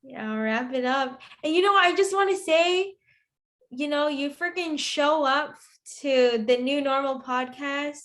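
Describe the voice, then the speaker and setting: A woman's voice: high voice, a little slow, fairly bright tone. A woman co-hosting a podcast, talking casually and without a script. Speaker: podcast co-host. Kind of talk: casual unscripted podcast chat.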